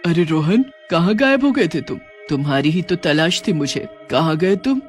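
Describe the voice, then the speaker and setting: Man voicing a menacing high-voiced female witch. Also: expressive, normal speed, soft background music, lightly acted. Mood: gloating. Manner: threatening, demanding. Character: menacing, short-tempered female witch hunting her magic pencil. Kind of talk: dramatic Hindi horror storybook acting.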